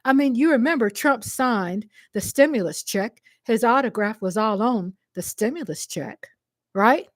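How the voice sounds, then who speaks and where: Passionate, emphatic Texan woman, slow delivery. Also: on the high side, expressive, no room sound. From Texas. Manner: passionate, emphatic, repeats phrases for stress. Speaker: vlogger answering a commenter. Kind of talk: impassioned direct-to-camera monologue.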